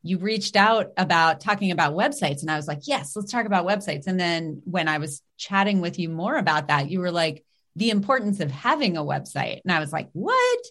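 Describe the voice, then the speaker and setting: A chatty, upbeat woman in middle age hosts a podcast conversation. Her voice sits a bit low for a woman, and she fills her sentences with easy "likes" and "ums". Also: sing-song, brisk, a bit bright. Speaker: podcast host. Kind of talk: casual podcast interview.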